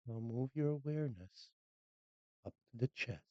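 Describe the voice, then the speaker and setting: A meditation guide speaking calmly and slowly in short, unhurried phrases with long pauses, leading a scripted relaxation. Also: medium pitch, dry recording, highs cut off.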